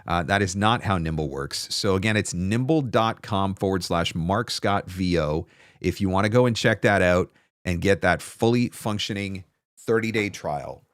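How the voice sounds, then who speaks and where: Low-voiced man chatting on a livestream. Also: normal speed, no echo, clean studio sound. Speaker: livestream host, voice-over coach. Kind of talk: casual livestream advice talk. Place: small home studio room, indoors. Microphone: close desktop broadcast microphone.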